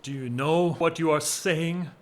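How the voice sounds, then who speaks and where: Male actor for honourable gentleman, period audio play. Restrained, formal and earnest, holding back his love. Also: fairly high pitch, a little slow, natural voice. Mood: restrained reproach. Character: loyal, earnest gentleman secretly in love with friend's wife. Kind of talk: period drawing-room audio drama acting.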